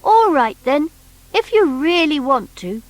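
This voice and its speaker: A woman's voice: high-pitched, sing-song, unhurried, no echo, pitched above the performer's own voice. A woman voicing a kindly, earnest little male toy figure in a storybook reading. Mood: pleased surprise.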